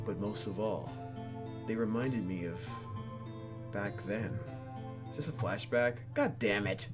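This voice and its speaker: A man with a low voice plays host, reading visual novel narration expressively. He is theatrical and playful, with music clearly playing behind him. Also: slow pace. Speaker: let's play host reading a game aloud.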